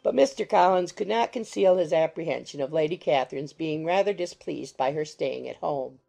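A woman's voice: sits low, normal speed, creaky, mellow, faint music behind. A woman reading scripted literary narration for an audiobook.

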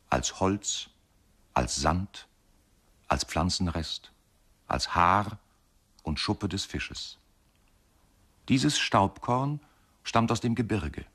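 An actor as a grave, contemplative narrator of a lyrical radio play. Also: male voice, low-pitched, fairly bright tone, breathy edge, full character voice.

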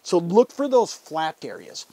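A man's voice: on the high side, big pitch swings, unhurried, mellow. A middle-aged man giving casual, instructional fishing commentary in a folksy, enthusiastic, conversational way. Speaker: fishing show host. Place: inside a fabric ice-fishing shelter.

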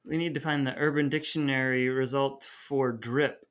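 A man's voice: mid-range voice, fairly even intonation, normal speed. A man co-hosting a podcast with casual, comedic talk. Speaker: podcast co-host.

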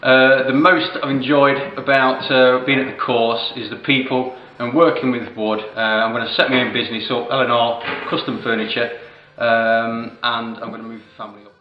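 Monotone Englishman from Nottingham. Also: mid-range voice, normal speed. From Nottingham, England. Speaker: furniture student. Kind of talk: to-camera introduction. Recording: echoey, slightly dull room.

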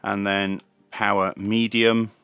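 A man in his fifties presenting a product review in a measured, methodical way, step by step. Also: fairly low pitch, flat delivery, gravelly, fairly bright tone, clear, resonant tone, dry recording. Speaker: presenter of radio equipment reviews. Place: small indoor home radio workshop.

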